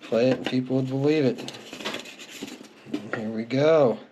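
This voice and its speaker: Clear background noise sits under the voice of a middle-aged man. He talks at an average male pitch in an unscripted, conversational way. It is a casual show-and-tell, full of easy reminiscing. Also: measured pace. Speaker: music collector presenting their collection. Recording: clearly noisy home recording with clicks.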